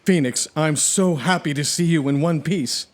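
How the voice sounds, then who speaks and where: A man's voice: on the high side, normal speed, close to an everyday voice. A man playing an earnest, mild-mannered space hero in a comic sci-fi audio drama, polite and explanatory. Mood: relieved.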